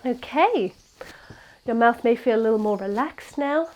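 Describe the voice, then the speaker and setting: A young woman teaching a gentle yoga class, her voice congested and nasal from a cold, guiding slowly and calmly. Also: fairly high pitch, highs cut off. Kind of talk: guided yoga class instruction.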